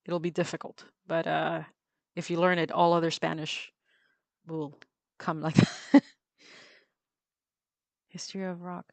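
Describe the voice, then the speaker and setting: A woman with a slightly low voice chats away while she draws on a livestream. She laughs easily and keeps pausing on ums and half-finished words. Her voice is close to the mic and dry, with no room sound. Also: little pitch movement, creaky, darkish tone, no echo. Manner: chatty, laughs often, many ums and restarts. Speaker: livestreamer drawing on a tablet. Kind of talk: casual chat while drawing.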